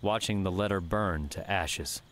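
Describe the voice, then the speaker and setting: A man narrating a fiction audiobook with measured, scripted storytelling. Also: deep voice, fairly bright tone.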